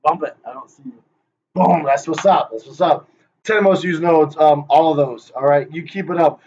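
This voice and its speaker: A man's voice: fairly high pitch, normal speed, darkish tone, slight background noise. A man rehearsing a playful conference presentation, joking, hyping up and teasing the people he names. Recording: dull lo-fi recording.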